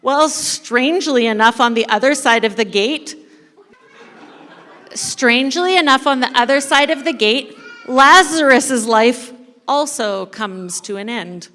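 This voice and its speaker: Slow, theatrical woman storyteller in echoey hall. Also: a little high, pushed and bright. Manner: playful, dramatic, with deliberate pauses. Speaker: church storyteller leading an interactive dramatic reading. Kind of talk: theatrical live scripted narration of a parable. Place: large indoor church space before an audience. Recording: echoey hall with long reverb.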